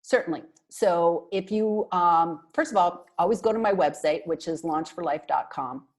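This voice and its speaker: Middle-aged Canadian-raised woman guest, husky and low, emphatic, chatty, speaking through a call headset. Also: moderate pace. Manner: animated, talks with her hands, chatty and emphatic. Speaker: marketing consultant guest. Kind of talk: casual video call interview. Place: small bright room indoors. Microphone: earphone headset on a video call.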